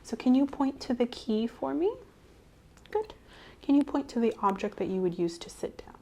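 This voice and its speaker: A woman doing a soft-spoken ASMR medical role-play as a clinician, gently reassuring and giving step-by-step instructions. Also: a little high, lively intonation, normal speed. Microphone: clip-on mic.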